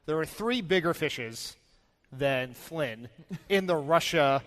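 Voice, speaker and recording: An American man giving casual political analysis as a panelist, in an emphatic, deliberate way. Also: a little high, lively intonation, fairly bright tone.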